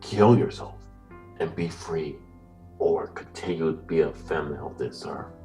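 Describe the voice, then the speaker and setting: A cold puppet mastermind with an extremely deep male voice, speaking slowly and deliberately. He sounds distant, ominous and formal, moralizing like a horror audio drama villain over music. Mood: grave, challenging. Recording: distant voice under loud music.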